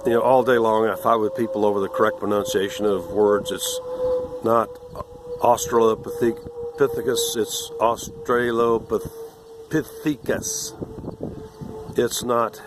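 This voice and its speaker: Rough-voiced man in his sixties, lecturing. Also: on the low side, sing-song, slow pace, very noisy. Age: 60s. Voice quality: rough, irregular voice. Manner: condescending, lecturing, meandering with restarts. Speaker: vlogger. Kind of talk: rambling monologue to camera.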